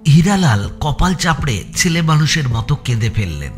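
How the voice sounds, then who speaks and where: A man's voice: fairly high pitch, big pitch swings, moderate pace. A man narrating a suspense audio story in a dramatic, scripted storytelling style. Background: faint background music.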